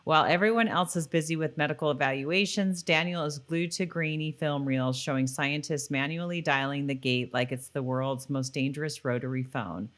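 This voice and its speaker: A woman's voice: low voice, steady tone, normal speed, hardly any pauses, fairly bright tone. A woman co-hosting a podcast, talking casually as she shares thoughts and recaps an episode. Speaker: podcast co-host. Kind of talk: casual chat and read episode summary.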